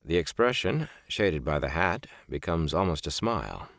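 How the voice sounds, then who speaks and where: A man reading a first-person literary book as a narrator, with measured, deliberate phrasing and emphatic stresses. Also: sits low, sing-song, creaky, a bit bright, no room sound, studio quality. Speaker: audiobook narrator. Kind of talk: scripted audiobook narration of a reflective first-person memoir novel.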